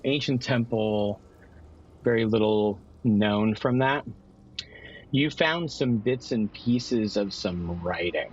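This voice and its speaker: A man's voice: lowish voice, breathy edge. A man playing a tabletop game, improvising a story in casual, explanatory talk that restates itself. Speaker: guest tabletop game player. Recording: dull, slightly lo-fi microphone sound.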